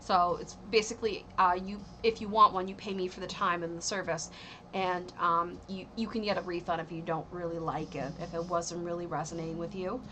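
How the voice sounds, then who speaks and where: Woman, 30s, bright voice, loose chatty tarot reader, lots of ums, faint background hiss. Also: on the low side, steady tone, fairly quick. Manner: rambling, full of ums and likes. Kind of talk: casual livestream tarot reading.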